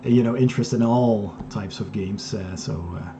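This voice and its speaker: A man's voice: fairly low pitch, moderate pace, background noise. A man hosting a board game interview, chatting casually and answering with short agreements. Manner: relaxed, with short backchannel agreements. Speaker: podcast host.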